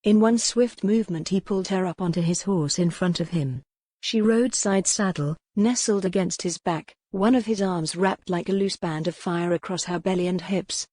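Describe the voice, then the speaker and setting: A woman narrates a historical romance audiobook from a script. Her voice sits a little low for a woman, carrying dramatic prose. The sound is dry, with no room echo. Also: normal speed, mellow.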